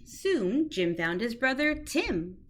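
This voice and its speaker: Slow, lively-voiced teacher reading aloud. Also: female voice, fairly high pitch. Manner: slow, expressive storytelling. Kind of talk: reading a children's picture book aloud.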